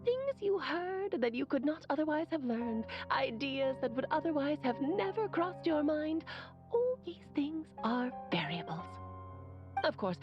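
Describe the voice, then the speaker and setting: A woman's voice: high voice, dull audio. A woman playing a clever, worldly sorceress in a video game, explaining things in an articulate, theatrical way. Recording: thin audio with loud background music.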